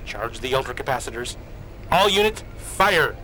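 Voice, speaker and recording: A man's voice: medium pitch, big pitch swings, normal speed, background noise, natural voice. A man playing an urgent starship battle commander in a science fiction radio drama, barking clipped orders. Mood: urgent, commanding.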